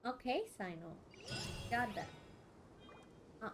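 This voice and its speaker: A woman giving casual let's play commentary, in a halting, giggly way with repeated words. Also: mid-range voice, noisy background. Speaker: gaming streamer.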